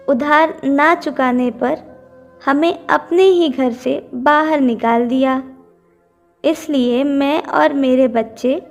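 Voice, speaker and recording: A woman's voice: high voice, gravelly, highs cut off, full character voice. A woman voicing a distressed mother bird in a children's cartoon story, pleading in a plaintive way. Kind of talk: Hindi cartoon story dubbing.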